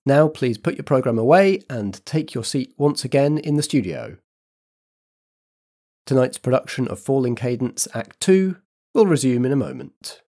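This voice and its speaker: Male theatre announcer, formal wording, soft mellow tone, very varied intonation. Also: mid-range voice, sing-song, moderate pace.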